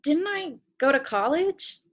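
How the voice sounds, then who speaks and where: A woman's voice: high voice, expressive, unhurried. A woman playing an idealistic, stubborn young girl in a comedy play reading, emphatic and indignant. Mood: questioning. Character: idealistic, stubborn young girl meeting her future selves. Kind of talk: comedy play read aloud.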